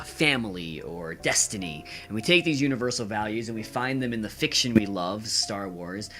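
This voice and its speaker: Young guy, kinda low voice, earnest podcast guest, stumbles and repeats, faint music. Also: a bit bright. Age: young adult. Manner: earnest, halting, repeats words. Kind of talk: casual interview answers.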